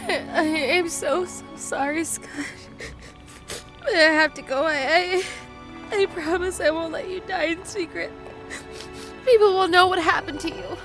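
An actress playing a grieving, defiant, foul-mouthed woman survivor in an audio drama, speaking in halting, emotional bursts. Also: very high-pitched, fairly bright tone, faint music behind, low-quality recording. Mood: grieving, apologetic. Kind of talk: full-cast audio drama acting.

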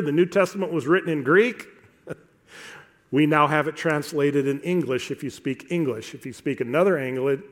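A middle-aged man preaching a sermon to a congregation in an earnest, emphatic way, repeating phrases deliberately for effect. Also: fairly high pitch.

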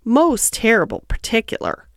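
A woman's voice: on the high side, wildly swooping pitch, moderate pace, creaky, no echo, clean studio sound, heavily acted. A woman voicing an eager, polite, stout little hedgehog washerwoman from a children's storybook, chatty, fussy and deferential.

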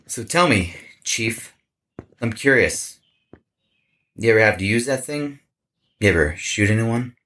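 Tense, obsessed rocker killer. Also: male voice, on the low side, lively intonation, unhurried, long pauses, a bit bright, a little hiss or hum. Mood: cool, probing. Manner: tense, desperate, intense. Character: anxious, obsessed rock musician turned killer. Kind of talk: audio horror drama acting.

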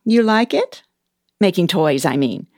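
An actress playing a cheery, brisk, officious female elf who runs personnel at the North Pole, in an audio play. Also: fairly low pitch, no echo, clean studio sound. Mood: chatty. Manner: chatty, expressive, officious.

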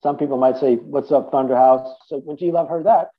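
A man's voice: mid-range voice, fairly even intonation, a little fast. Middle-aged man on a tinny line. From Boston area, USA. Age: 50s. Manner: rambling storytelling with many restarts and "you know". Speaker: interview guest, drummer. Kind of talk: casual interview conversation.

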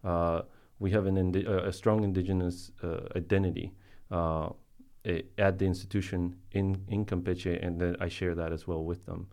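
Male podcast guest, Colombian-born native Spanish speaker, very low pitch, halting conversational delivery. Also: level tone, a little mellow. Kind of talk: casual podcast interview talk.

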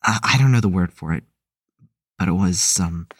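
An actor playing an uneasy young male psychiatric patient in an audio drama, halting and unsure as he talks. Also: deep voice, noisy background, close to an everyday voice. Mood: uneasy, reflective. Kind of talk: audio drama acting, confiding.

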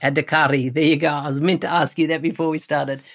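A man's voice: a little high, level tone, fast talker. A man hosting a podcast interview, upbeat and enthusiastic as he welcomes a guest and asks questions. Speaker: podcast host.

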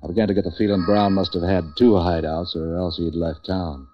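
A hard-boiled man for hire out of an old radio noir, acted by a man. His voice sits very low and he talks in clipped, deadpan wisecracks, street-wise and tough. The recording is dull 1940s lo-fi. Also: brisk, faint music behind, natural voice. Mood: deadpan, world-weary. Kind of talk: 1940s radio noir first-person narration and dialogue.

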